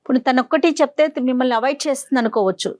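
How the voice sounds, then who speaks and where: Firm, quick-talking female co-host. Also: sits high, no room sound, clean studio sound. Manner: firm, interjecting. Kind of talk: talk-show conversation.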